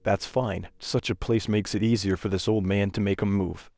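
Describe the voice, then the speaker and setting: A man's voice: lowish voice, steady tone, normal speed, a little mellow. A man playing a cold, ruthless old court schemer in a dubbed comic drama. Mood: cold, plotting. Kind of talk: dubbed comic-recap character dialogue. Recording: voice over background music.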